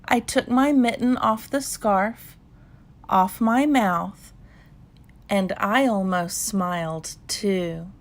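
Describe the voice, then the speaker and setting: A woman narrates a children's bedtime story at a very slow pace. Her voice sits at a medium pitch, and she reads calmly, leaving space between short phrases. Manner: measured, unhurried storytelling with pauses. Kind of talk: calm bedtime read-aloud narration.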